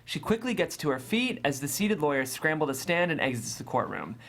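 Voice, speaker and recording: A narrator reading scripted stage directions at a table read in a deliberate, descriptive way. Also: medium pitch, very animated, fairly bright tone.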